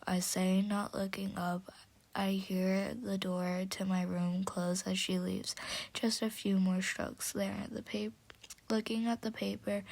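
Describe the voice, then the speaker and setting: Slow hoarse woman reading a story. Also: mid-range voice, a little mellow. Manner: slow, stumbling, chatty. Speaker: audiobook reader. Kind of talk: reading fan fiction aloud.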